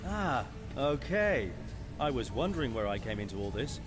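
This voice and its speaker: An actor playing a wry, confident human space pilot in a video game, with a casual, sardonic manner. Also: male voice, mid-range voice, sing-song, moderate pace, music in the background, natural voice. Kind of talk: scripted video game character acting.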